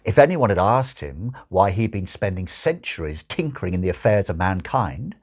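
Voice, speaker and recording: A man narrating a comic novel as an audiobook, with wry, expressive storytelling. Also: low voice, normal speed, slightly rough. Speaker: audiobook reader.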